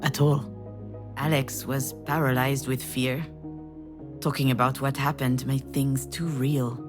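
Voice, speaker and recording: A woman's voice: rumbling low, level tone, a little slow, music in the background. A woman playing a weary, grieving, introspective mother with a wry edge in a dramatic video game. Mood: reflective, wistful.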